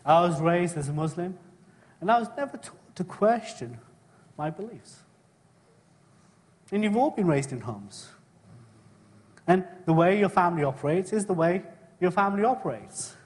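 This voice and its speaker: A middle-aged man from England gives a sermon, speaking in a slightly raised voice. He talks in a conversational way, often turning to his listeners with tag questions and clearing his throat. His voice carries a long reverb from a church hall. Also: sits high, animated, moderate pace, long pauses, a little mellow. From born in Kenya, raised in England. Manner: conversational, asks the congregation frequent tag questions. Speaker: preacher. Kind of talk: sermon with personal testimony.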